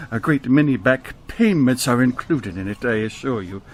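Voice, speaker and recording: A man's voice: mid-range voice, expressive, normal speed, natural voice. A man playing a reformed old miser, giddy and generous, in theatrical radio drama style with stammers and chuckles. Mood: grateful, merry.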